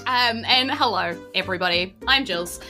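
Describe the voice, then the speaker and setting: Bright, animated woman game master. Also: medium pitch, a little fast, soft background music. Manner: excited. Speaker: dungeon master, host. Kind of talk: narrating a recap for a tabletop game podcast.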